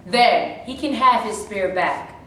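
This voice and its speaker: Actress as commanding prehistoric chieftainess, imperious, mid-pitched, staged play, far from mic. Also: female voice, animated, slow, a bit bright. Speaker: actor. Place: echoey room at a staged reading. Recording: echoey room, distant mic, faint noise.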